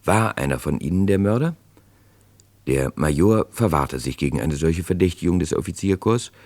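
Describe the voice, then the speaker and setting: A man narrating a true crime radio play as a sober storyteller, scripted and measured, building suspense. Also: low voice, animated, darkish tone. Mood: sober narration.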